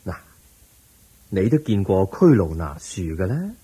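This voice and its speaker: A man acting a calm, patient, wise teacher in a radio drama, measured and gently instructive, with a Hong Kong Cantonese accent. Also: deep voice, husky, heavily acted.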